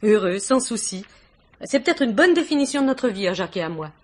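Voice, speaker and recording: A woman's voice: medium pitch, very animated, brisk. A woman playing a gracious, chatty, devoted young wife and hostess in a radio mystery, polite and confiding. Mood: tender, content. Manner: polite, talkative, romantic, confiding. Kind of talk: 1950s French radio mystery acting.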